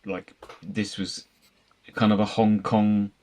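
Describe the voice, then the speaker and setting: A man with a low, deep voice takes part in a film discussion. His delivery is conversational, as a panelist in a casual review chat. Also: little pitch movement, slow, a little mellow, slight background noise.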